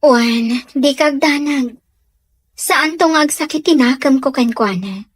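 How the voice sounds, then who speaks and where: High-voiced sweet young student. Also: female voice, unhurried, dry recording. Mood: affectionate. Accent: Filipino. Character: sweet grateful young female student in love. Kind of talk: radio drama acting.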